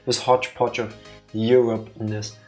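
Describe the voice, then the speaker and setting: A man, talking casually as co-host of a game stream. He rambles and restarts his sentences, teasing his partner as he goes. Soft music plays under his voice. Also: on the low side, level tone, moderate pace. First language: Dutch. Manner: rambling, self-correcting, teasing. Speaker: let's play co-host. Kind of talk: casual chat over gameplay. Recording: faint music behind.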